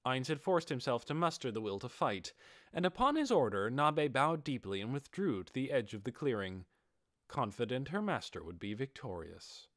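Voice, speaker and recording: A man's voice: mid-range voice, very animated, normal speed, fairly bright tone, no echo, clean studio sound. A man narrating a fantasy audiobook in a dramatic, scripted style.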